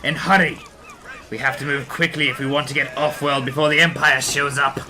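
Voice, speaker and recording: A man playing a terse underworld contact in an audio drama, brisk and businesslike. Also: medium pitch, flat delivery, sharp tone, a little hiss or hum, poor audio. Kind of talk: scripted audio drama acting.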